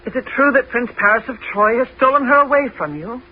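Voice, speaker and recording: A woman's voice: a little high, expressive, a little fast, slightly rough. An actress playing an anxious, protective Greek queen and mother in a 1970s radio drama. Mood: worried. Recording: dull, faintly noisy old radio recording.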